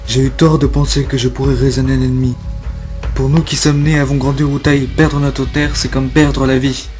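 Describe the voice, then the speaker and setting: A man's voice: mid-range voice, level tone, brisk, darkish tone, soft background music, natural voice. A man voicing a grave, defiant soldier of a ravaged homeland in an amateur video game dub, speaking in a declamatory way. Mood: earnest.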